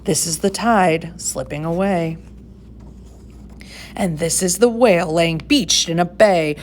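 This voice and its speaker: A woman with a fairly low voice reads a rhyming children's book. Her delivery is measured and singsong with dramatic emphasis, and there is noticeable noise in the recording. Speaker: storybook reader for schoolchildren. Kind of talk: expressive read-aloud of rhyming picture book.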